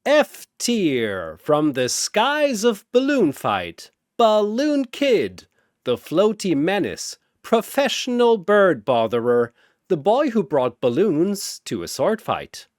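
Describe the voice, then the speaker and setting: Man playing a booming, bombastic ancient cosmic arena announcer. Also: huge pitch swings, no echo, clean studio sound, exaggerated voice. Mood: bombastic hype. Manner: grandiose announcer delivery with drawn-out names. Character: ancient, gleeful cosmic arena master bellowing introductions.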